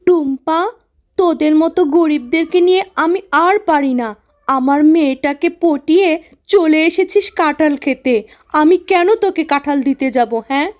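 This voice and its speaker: A woman's voice: high voice, normal speed, a little mellow, close to an everyday voice. A woman voicing a stingy, scolding tigress mother in a children's cartoon, with a grudging manner. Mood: scornful. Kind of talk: children's cartoon story acting.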